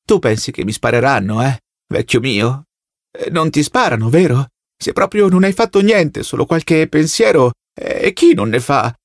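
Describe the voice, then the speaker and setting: A man's voice: mid-range voice, dry recording, studio quality, natural voice. Man voicing a whiny, agitated plump party loyalist. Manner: whining, rapid-fire questions with tag words.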